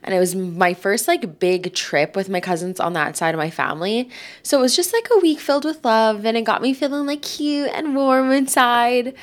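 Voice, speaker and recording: A woman's voice: a little high, normal speed. A woman in her twenties hosting a casual solo podcast, chatty and rambling, filling her talk with "like", with a stuffy nose from a cold. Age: 20s. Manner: chatty, rambling, says "like" a lot. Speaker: podcast host.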